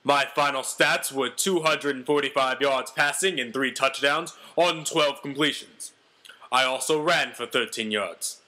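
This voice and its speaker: A man's voice: medium pitch, a little slow, sharp tone. A man voicing a brash, self-important ex-convict quarterback telling his own story with melodramatic, boastful delivery.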